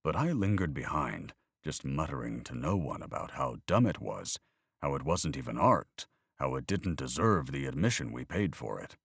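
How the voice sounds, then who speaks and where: A man reading an audiobook, voicing a weary older man who talks in a measured, reflective way. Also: very deep voice, big pitch swings, creaky, a bit bright, husky, dry recording, clean studio sound, full character voice. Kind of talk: audiobook character voice.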